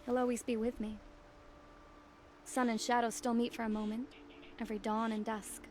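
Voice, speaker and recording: A woman's voice: fairly high pitch, little pitch movement, moderate pace, darkish tone, slight background noise, natural voice. A woman acting a grieving but determined young woman in a video game, earnest, tender and solemn.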